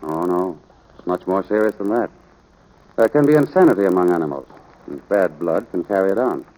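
An actor playing a stern, gruff middle-aged American rancher father, firm and plain-spoken, in 1940s radio drama style. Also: sits low, lively intonation, fairly quick, mellow, poor audio. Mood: grave. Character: stern, gruff rancher father instructing his young son. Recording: vintage radio drama recording.

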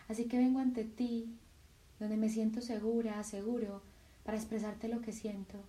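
A woman leading a guided meditation in a soft, soothing voice, with slow sighing breaths between phrases. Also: on the high side, distant mic, rough recording.

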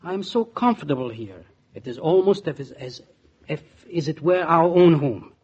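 An actor playing a warm-hearted immigrant husband and servant with a German accent and emphatic, stilted English, in 1940s radio drama style. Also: male voice, a little high, expressive, moderate pace, breathy edge. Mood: earnest. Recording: dull, band-limited 1940s radio recording.

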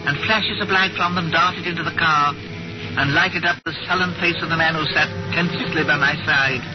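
A woman's voice: lowish voice, lightly acted. An actress playing a polite, anxious older woman in a 1940s radio thriller, careful and hesitant in her speech. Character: genteel, anxious older widow recounting her captivity to her niece. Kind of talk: 1940s radio acting with first-person narration. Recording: dull, noisy 1940s radio recording with music.

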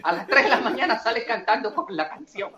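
A man's voice: very high voice, sing-song, fairly quick, sharp tone. A man from the Dominican Republic, a singer and comedian, chatting as an interview guest with many hesitant fillers and restarts. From raised in the Dominican Republic. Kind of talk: casual interview chat. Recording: echoey and dull.

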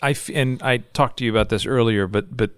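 A man at a middle pitch poses a question to his guest, stopping and restarting as he works it out. His voice sounds dry and close, with no room echo around it. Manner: halting, restarting as he builds a question. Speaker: interviewer. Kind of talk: interview questions.

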